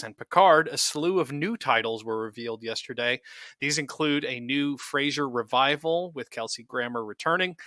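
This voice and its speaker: A man with a bright, forceful voice at a medium pitch presents as a podcast co-host. The recording is dry and free of room sound. His delivery is that of a semi-scripted news rundown. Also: no room sound.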